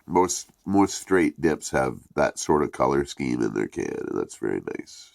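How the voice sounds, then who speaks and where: Reviewer: man with a very deep, gravelly, congested voice; loose, profane, rambling delivery, close and dry. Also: big pitch swings, unhurried, a little mellow, dry recording. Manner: profane, rambling, laid-back. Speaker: product reviewer.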